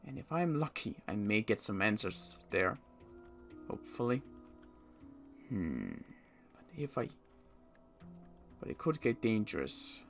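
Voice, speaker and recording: A man roleplays a suspicious male survivor in a zombie game, talking to himself. His pitch moves in lively swings as he stammers and repeats words. Faint music plays underneath. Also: unhurried, creaky, long pauses, heavily acted. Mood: pondering, muttering. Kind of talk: improvised game roleplay monologue.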